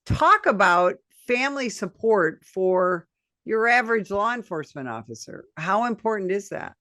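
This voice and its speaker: A woman hosting a podcast, asking measured, deliberate interview questions. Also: medium pitch, very animated, bright, forceful tone, no echo. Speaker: podcast host.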